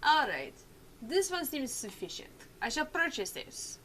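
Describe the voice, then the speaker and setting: A woman's voice: sits high, big pitch swings, a little slow, fairly bright tone, voice pushed up, exaggerated voice. A woman voicing a shy, easily flustered but proud and formal tank girl in a visual novel game. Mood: prim, formal. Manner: stammering bashfully, then stiffly formal.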